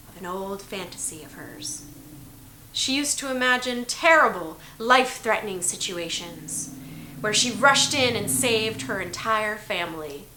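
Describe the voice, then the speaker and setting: Female story narrator, dramatic delivery, bright tone, echoey hissy room. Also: a little high. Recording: roomy echo, hiss in background.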